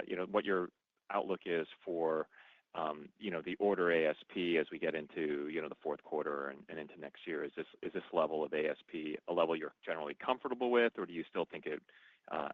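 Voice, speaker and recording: Male analyst, very low voice, hesitant and roundabout, questioning executives over a dry, narrow phone connection. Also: dry recording. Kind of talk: earnings call question. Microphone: telephone conference call. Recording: narrow, tinny phone line.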